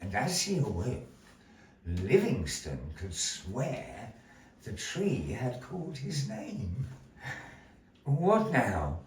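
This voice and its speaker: A man telling a dramatic adventure tale to a live audience, slow and theatrical, with playful asides. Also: mid-range voice, huge pitch swings. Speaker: puppet show storyteller. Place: small gallery room with audience. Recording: roomy echo, distant mic, faint noise.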